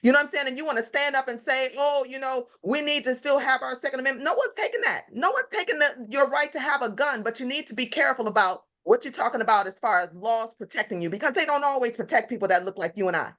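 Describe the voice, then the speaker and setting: A woman panelist forcefully rebutting others in a heated debate, repeating her points. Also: sits high, expressive, quick pace, hardly any pauses.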